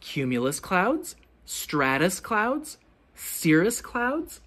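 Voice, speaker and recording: Teacher doing a kids read-aloud, super swoopy intonation, bit of a husky voice, asks questions real deliberately. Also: medium pitch. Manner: expressive, deliberate questioning delivery for young children. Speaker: teacher reading a picture book aloud. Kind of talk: children's storybook read-aloud.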